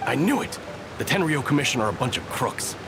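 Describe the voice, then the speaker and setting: A grumbling gossipy soldier. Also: male voice, medium pitch, big pitch swings, brisk, creaky, noisy background. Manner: complaining, then nervously overcheerful. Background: game music.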